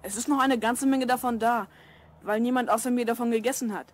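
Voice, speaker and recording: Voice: medium pitch, steady tone, a little fast, a little hiss or hum, natural voice. A performer playing an eager, curious boy of twelve in an audio drama. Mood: friendly, eager. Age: child character, twelve. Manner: eager, questioning. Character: Mark, curious, helpful twelve-year-old boy. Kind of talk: German audio drama acting.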